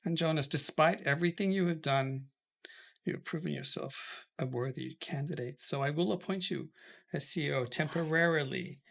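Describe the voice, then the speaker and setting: A man's voice: a little high, moderate pace, vocal fry, a bit bright. An actor playing a stern elderly tycoon father who scolds his children and later weakens and coughs. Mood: frail. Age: older. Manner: commanding, scolding, turns weak and coughing later. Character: stern elderly male tycoon father, head of a family firm. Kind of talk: melodrama acting.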